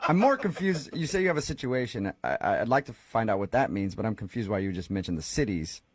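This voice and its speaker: A man's voice: lowish voice, big pitch swings, fast, slight background noise. A man hosting a morning radio show, chatting with a caller in a casual, teasing way and often breaking into laughter. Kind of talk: casual morning radio chat on a phone call. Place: radio studio. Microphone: studio microphone.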